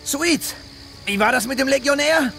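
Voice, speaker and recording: High-voiced brash young soldier. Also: male voice, normal speed, creaky, pushed and bright, faint music behind, full character voice. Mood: eager, cocky. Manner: brash, slangy, flippant. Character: brash gold-hungry young soldier, cocky and dim. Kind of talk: video game cutscene dialogue, German dub.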